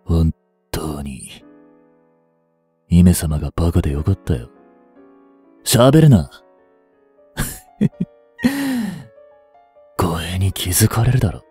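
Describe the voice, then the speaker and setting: A man in his twenties performs a smooth-tongued, treacherous captive prince in a dramatic audio play. His voice is low and dark, slightly husky, and theatrically courteous with a mocking edge. Music plays behind him. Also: big pitch swings, full character voice. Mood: mocking, cruel. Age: 20s. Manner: slow, theatrical, courteous speech slipping into taunts. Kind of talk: Japanese audio drama acting. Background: background music.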